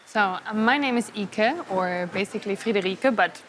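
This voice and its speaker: A woman's voice: mid-range voice, moderate pace, a bit bright, slight background noise, poor audio. A young woman, chatting about her studies and journalism work. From Germany. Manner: chatty, thinks aloud. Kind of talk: casual interview answers.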